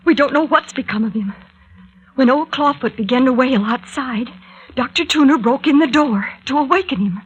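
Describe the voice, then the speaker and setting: A woman's voice: high voice, normal speed, husky, lo-fi sound. An actress playing a frightened, insistent young woman in a 1940s radio serial, with a breathless, pleading delivery. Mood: anxious, fearful. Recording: vintage 1940s radio recording.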